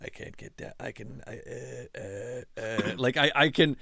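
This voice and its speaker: A man's voice: mid-range voice, vocal fry, a crowd in the background. A man hosting a podcast interview in casual chat, talkative and laughing often as he speaks. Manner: chatty, laughs often, quick restarts. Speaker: podcast host.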